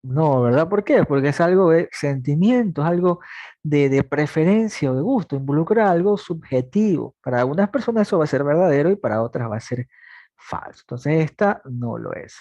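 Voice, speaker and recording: A man's voice: a little high, expressive, normal speed, dark tone, dry recording, lo-fi sound. Young male teacher quizzing his class. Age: 20s. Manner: patient, asks students questions and answers them himself; repeats points, talks plainly to students. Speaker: math teacher. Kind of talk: online class lecture.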